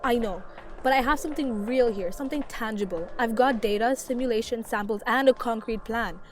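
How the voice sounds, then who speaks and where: A woman's voice: fairly high pitch, brisk, lightly acted. A woman acting the part of a nervous but determined, idealistic young scientist in an audio drama. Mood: fervent.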